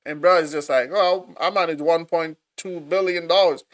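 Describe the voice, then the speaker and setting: A man hosting a live reaction stream, talking excitedly to his viewers and calling them bro. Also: fairly high pitch, normal speed, crowd noise. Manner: excited, talks to viewers, says bro a lot.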